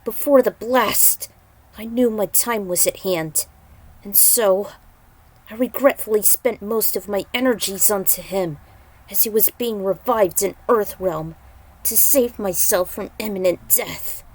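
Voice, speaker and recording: Woman doing a weakened thunder god, grave n anguished, formal slow solemn lines, voice pushed up higher, fantasy audiobook style. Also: a little mellow, natural voice, rough recording. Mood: grave, regretful.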